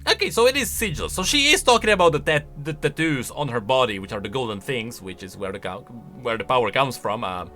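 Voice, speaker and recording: A man giving casual, opinionated reaction commentary on video game lore in an animated, conversational way. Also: mid-range voice, brisk, few pauses. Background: faint music.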